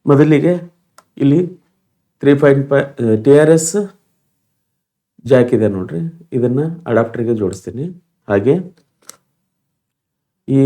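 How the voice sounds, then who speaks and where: Mellow-voiced man. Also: mid-range voice. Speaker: tutorial presenter. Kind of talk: informal step-by-step demonstration.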